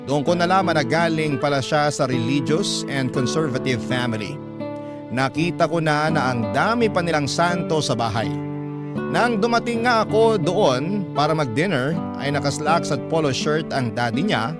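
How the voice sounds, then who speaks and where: A man's voice: a little high, expressive, normal speed. A man narrating a listener's letter as a scripted, dramatic story in classic radio storytelling style. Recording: loud guitar music under voice. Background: plucked guitar music.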